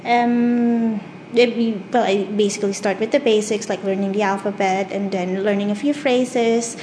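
A woman in her twenties with a Filipino accent, whose first language is Tagalog. She reads a story carefully, then talks about herself in measured speech with frequent "um" fillers. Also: mid-range voice, steady tone, mellow, a little hiss or hum, poor audio. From Philippines. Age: 20s. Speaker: dialect archive speaker. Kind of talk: reading a passage, then casual self-description.

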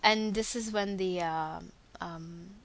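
Slovak-accented woman, young adult, unhurried, says every name twice. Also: on the low side, a little hiss or hum. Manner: careful, slow, repeats each word twice. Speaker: dialect archive speaker. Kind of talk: reading names aloud for a pronunciation recording.

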